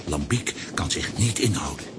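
A man narrates a children's audio story in a low voice. His scripted delivery rises and falls expressively, with clear background noise. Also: animated, normal speed. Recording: noisy old audio-play recording.

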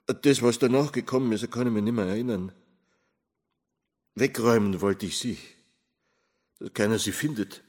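An actor playing a self-justifying, evasive Bavarian murder suspect in a radio play confession, speaking colloquially with a Bavarian accent. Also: male voice, lowish voice, normal speed, long pauses, darkish tone, slightly rough. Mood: subdued, haunted.

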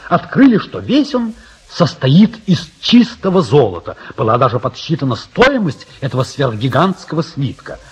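An actor as a storyteller narrating a radio drama, with dramatic emphasis and dry irony. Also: male voice, fairly high pitch, slightly rough, full character voice. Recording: dull radio recording, faint music.

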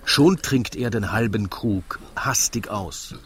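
A man's voice: lowish voice, slow pace. Male radio narrator over noisy ambience. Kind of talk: scripted rhymed story narration for radio drama.

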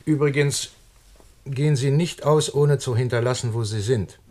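A man acting a firm, sceptical doctor in a German radio play, with a measured, formal and pointed way of talking. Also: mid-range voice, a little mellow, close to an everyday voice. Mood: stern. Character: firm, sceptical male doctor investigating a death.

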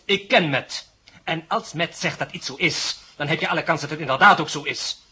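An actor playing a suave, loyal stage magician and hypnotist, coolly menacing and commanding, in a 1970 radio drama. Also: male voice, on the high side, sharp tone, husky, full character voice. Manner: self-assured, deliberate, commanding.

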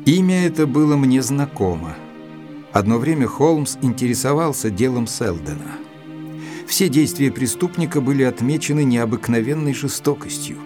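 An actor playing a gentleman narrator of a mystery tale, measured and expressive, in a literary audio drama. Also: mid-range voice, lightly acted. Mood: sombre, atmospheric. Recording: dry studio with music underneath.

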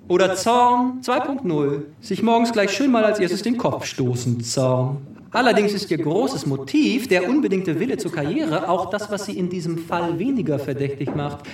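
A man's voice: sits high, sing-song, measured pace, darkish tone. A man playing a pompous, long-winded detective who ceremoniously unveils a murderer to the suspects in a theatrical comedy. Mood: accusing, grandiose. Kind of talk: theatrical comic monologue before an audience. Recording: echoey hall, distant microphone.

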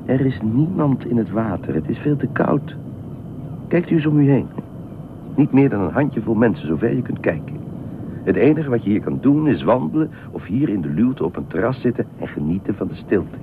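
A man's voice: mid-range voice, very animated, normal speed, natural voice. A man acting in a radio play as a polite, bemused, sensible holidaymaker with a reserved, matter-of-fact manner. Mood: sensible, insistent. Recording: noisy, tinny phone-like radio drama recording.

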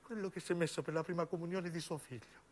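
A man's voice: on the high side, fairly even intonation, quick pace, darkish tone, a little hiss or hum. A male stage actor tells a story. His delivery is intense and solemn, built from short, broken phrases as in a theatrical monologue.

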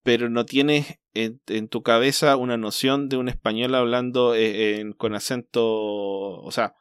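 A man with a mid-pitched voice, chatting on a casual podcast. He thinks aloud and hesitates, filling gaps with small sounds as he goes. His voice is dry and close to the mic. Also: steady tone, unhurried, clean tone, dry recording. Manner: thoughtful, hesitant, many fillers. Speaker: podcast co-host.

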